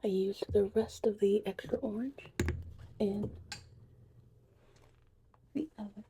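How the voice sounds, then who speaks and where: A woman whispering softly and breathily in an unhurried, chatty ASMR witch potion tutorial roleplay. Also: medium pitch, background noise. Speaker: ASMR host.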